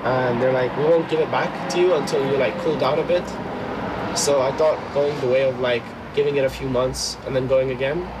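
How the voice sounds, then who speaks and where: Young Dublin male, around twenty, mid-pitched, halting interview talk over clear background noise. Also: fairly even intonation. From Dublin, Ireland. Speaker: soldier being interviewed. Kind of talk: informal interview.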